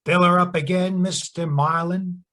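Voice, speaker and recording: An actor playing a world-weary, excitable bartender in a noir audio drama, grumbling in a folksy way. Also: male voice, sits high, a little slow, soft background music. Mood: chummy.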